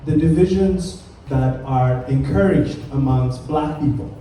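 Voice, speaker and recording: A man's voice: medium pitch, unhurried, dark tone, recorded from a distance, slight background noise. Earnest man speaking in an echoey hall. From Kenya. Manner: earnest, emphatic, with frequent fillers and restarts. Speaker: community panelist. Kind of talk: public speech at a summit panel. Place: large conference hall.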